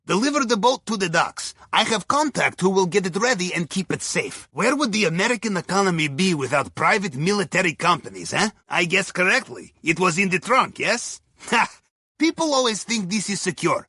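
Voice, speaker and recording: An actor playing a wry, upbeat Russian submarine heist planner in a video game, with a raspy voice, a Russian accent and clipped broken English delivered with deadpan humor. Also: male voice, high-pitched, dry recording, studio quality, heavily acted. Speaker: mission guide character in a video game. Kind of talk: scripted video game mission dialogue.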